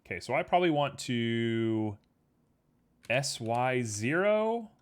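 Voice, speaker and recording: A man chatting casually with his stream audience while he works at a computer. He talks in a relaxed, rambling way full of fillers and sounds a little sniffly. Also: medium pitch, slow pace, clear, resonant tone. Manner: easygoing, rambling, filler-heavy. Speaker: livestreamer. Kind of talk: casual chat while working on a 3D model.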